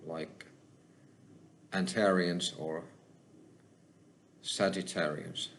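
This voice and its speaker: A man with a Finnish accent, hosting his own channel and talking alone to the camera, slow and halting, with many pauses. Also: low voice, a little hiss or hum. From Finland. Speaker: channel host. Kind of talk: solo spoken monologue.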